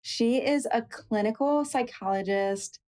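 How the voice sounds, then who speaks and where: A woman's voice: on the high side, measured pace, a little mellow. A woman hosting a podcast, upbeat and encouraging with her guest. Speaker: podcast host. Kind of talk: casual podcast interview.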